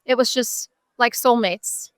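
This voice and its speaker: A woman's voice: fairly high pitch, measured pace, smooth, no room sound, studio quality. A middle-aged woman with an American accent speaking earnestly and emphatically as a guest in a conversational interview. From Buffalo, New York, USA. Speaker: interview guest, nonprofit advocate.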